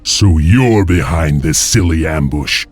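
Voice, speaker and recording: Stoic warrior leader, deep voice, terse, grave, resolute, video game drama, music behind. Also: male voice, lively intonation, slow pace, slightly rough, no echo, heavily acted. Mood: wary. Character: stoic, weary, resolute male wolf-clan lord and hero.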